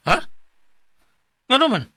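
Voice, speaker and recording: A man's voice: takes it slowly, heavy background noise, close to an everyday voice. A man acting an exasperated, caring younger brother in a radio drama, with a Cebuano Filipino accent. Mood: curious, surprised. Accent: Filipino, Cebuano-speaking. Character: exasperated, protective younger brother, hot-tempered but caring.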